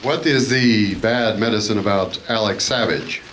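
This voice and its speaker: A man acting in a live radio play as a calm, polite, authoritative adventure hero. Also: on the low side, moderate pace, a little hiss or hum, natural voice, rough recording. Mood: purposeful.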